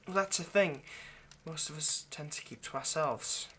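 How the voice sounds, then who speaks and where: Man playing an anxious lovesick vampire, bright-voiced. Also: a little high, slightly rough. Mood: grave, explanatory. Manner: halting, stammering, pleading. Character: anxious, lovesick male vampire kidnapper, centuries old but youthful. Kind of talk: intimate ASMR audio roleplay.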